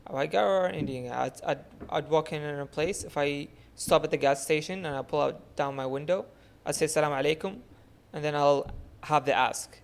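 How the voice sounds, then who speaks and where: A man's voice: on the high side, brisk, vocal fry. A man from Saudi Arabia hosting a podcast in casual conversation. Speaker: podcast host.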